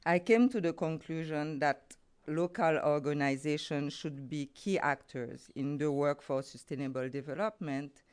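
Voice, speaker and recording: A woman's voice: low-pitched, normal speed, darkish tone, clear, resonant tone. A middle-aged woman from Haiti with a Haitian accent, speaking English as a second language and giving a formal, carefully phrased talk about her development work. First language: Haitian Creole or French. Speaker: guest speaker, NGO program head. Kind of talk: prepared conference talk.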